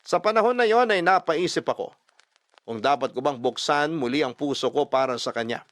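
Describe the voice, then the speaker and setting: A man narrating a first-person love story dramatically, expressive and emphatic, mixing Tagalog and English. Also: fairly high pitch, brisk, no room sound, studio quality. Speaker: storyteller reading a listener's letter.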